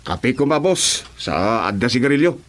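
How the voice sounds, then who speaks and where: A man's voice: mid-range voice, big pitch swings, normal speed, natural voice. A man acting a brash, sly, teasing henchman in an Ilocano radio drama.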